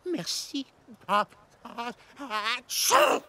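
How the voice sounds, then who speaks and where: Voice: medium pitch, huge pitch swings, extremely slow, over loud music, full character voice. An actor playing a timid, stammering male cook in a French cartoon, speaking hesitantly. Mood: sad. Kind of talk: French cartoon dub acting.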